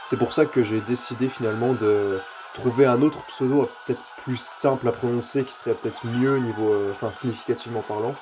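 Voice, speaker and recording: A man's voice: mid-range voice, fairly even intonation, fairly quick, few pauses, over music. A man with a head cold gives rambling, casual commentary while playing a racing game, with many fillers and the odd curse. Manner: rambling and filler-heavy, mild swearing. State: stuffy-nosed with a cold. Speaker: gaming youtuber.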